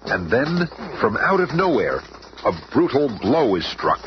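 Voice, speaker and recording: An actor as a solemn narrator, telling a superhero radio serial in a suspenseful, declamatory way. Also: male voice, medium pitch, sing-song, measured pace. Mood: dramatic. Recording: muffled, noisy old radio recording.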